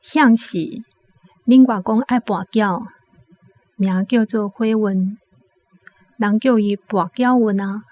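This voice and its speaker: A woman's voice: medium pitch, steady tone, takes it slowly, long pauses, a little mellow. A woman with a Taiwanese accent narrating a scripted radio drama as a storyteller.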